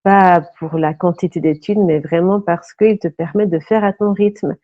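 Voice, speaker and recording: A woman's voice: on the low side, fairly even intonation, moderate pace, no room sound. A woman in her thirties from Brittany talks through her story as an interview guest. She sounds earnest and reflective and stops often to search for the right word. The sound is dull and lo-fi, with a dry, close feel. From Brittany, France. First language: French. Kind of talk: casual interview talk.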